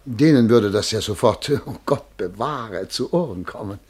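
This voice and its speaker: An actor playing a self-important, easily flustered male official in a radio play, halting, pompous and pleading, with a husky voice. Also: on the low side, animated, a little mellow. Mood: evasive, self-important. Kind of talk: German radio play acting.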